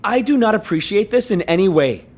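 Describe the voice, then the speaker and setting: Actor as indignant young male student, husky voice, animated intonation, protesting, exasperated, naturalistic radio drama acting. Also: sits high, brisk. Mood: indignant, upset.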